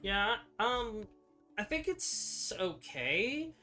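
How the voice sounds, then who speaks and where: A young man giving casual gameplay commentary, muttering to himself in halting, half-finished thoughts. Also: sits high, very animated, pushed and bright. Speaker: gamer playing on a stream or recording. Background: game music.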